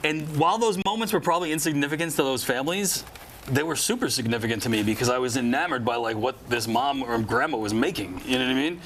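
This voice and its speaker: A man, a chef guest telling stories quickly and enthusiastically in a studio interview. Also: mid-range voice, expressive, fairly bright tone, a little hiss or hum. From New York. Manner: enthusiastic storyteller, quick, many fillers. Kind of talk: casual interview chat.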